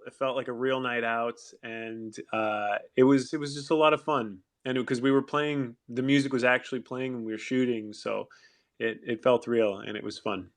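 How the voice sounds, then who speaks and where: A man hosting an online audio chat, answering fans' questions in a thoughtful, rambling way with frequent restarts. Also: medium pitch. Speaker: actor hosting a fan Q&A. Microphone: online audio room.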